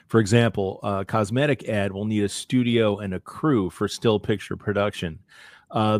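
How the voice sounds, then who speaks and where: Male Q&A moderator, slightly deep, recorded dry, relays audience questions to a speaker. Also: no echo.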